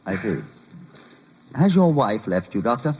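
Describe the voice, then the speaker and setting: An actor playing an unflappable, officious police officer in an old-time radio drama, formal, clipped and faintly condescending. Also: male voice, medium pitch, sing-song, measured pace, breathy edge. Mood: dry, probing. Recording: muffled, faintly noisy old radio recording.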